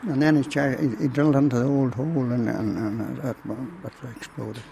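A man's voice: mid-range voice, fairly even intonation, a little fast, gravelly, mellow, slight background noise. An older retired miner chatting in an interview, rambling and restarting his words. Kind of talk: casual interview chat. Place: outdoors at a tournament venue.

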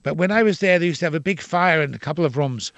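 A man's voice: fairly high pitch, fast, fairly bright tone, no room sound. Older male actor, Dublin accent, frank talker, bone-dry studio sound. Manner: expansive, frank, opinionated. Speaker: actor and playwright. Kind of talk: interview.

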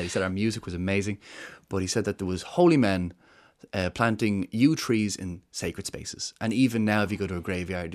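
An Irish man explaining his subject earnestly in an interview, with frequent fillers and restarts. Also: low-pitched. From Ireland. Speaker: guest, woodland charity founder. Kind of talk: interview conversation.

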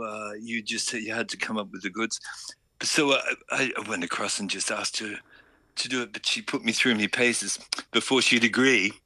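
An Irish man, a musician and radio guest, telling stories in a relaxed, self-deprecating way with a chuckle. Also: lowish voice, no room sound. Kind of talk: phone-in radio chat.

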